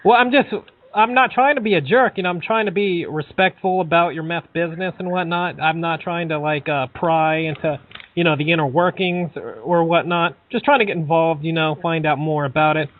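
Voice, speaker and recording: A man making a deadpan prank phone call, hesitant and stammering as he feigns earnest sincerity. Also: fairly high pitch, fairly quick. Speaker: prank caller.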